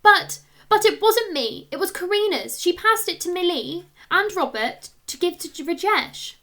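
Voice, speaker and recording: An indignant schoolboy from a storybook, played by a woman. He is flustered and pleading, with a very high, loud voice. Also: animated, a little slow, heavily acted. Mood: flustered protest. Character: defensive, indignant schoolboy protesting innocence.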